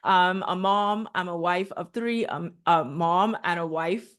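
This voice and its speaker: A woman's voice: lowish voice, unhurried, a bit bright. A woman from the Bahamas with a Bahamian accent, a guest speaking earnestly and steadily with many ums on a video call. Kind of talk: online training call.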